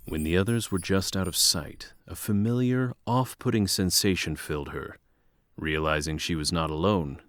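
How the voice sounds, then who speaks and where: A man's voice: low-pitched, no echo. A man narrating a fantasy audio drama from a script.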